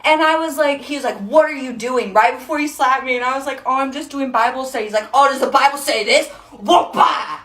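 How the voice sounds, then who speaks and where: A woman's voice: high voice, a little fast, hardly any pauses, a bit bright. A woman casually telling a personal story for a social video.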